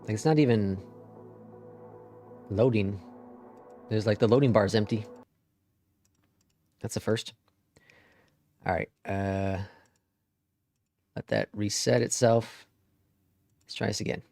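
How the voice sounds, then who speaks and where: A man's voice: lowish voice, fairly even intonation, slow, pauses often, darkish tone, no room sound, studio quality, faint music behind. A man with a Midwestern accent who streams a flight simulator, chatting casually and muttering to himself as he works the controls, with some mild swearing. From Upper Midwest (Minnesota/North Dakota). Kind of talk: casual live-stream commentary.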